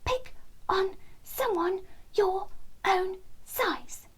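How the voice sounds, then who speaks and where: Woman voicing an excitable, high-pitched little boy wren. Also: extremely slow, exaggerated voice. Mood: fierce, shouting. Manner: exclaiming, loud and joyful. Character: small excited triumphant young boy wren.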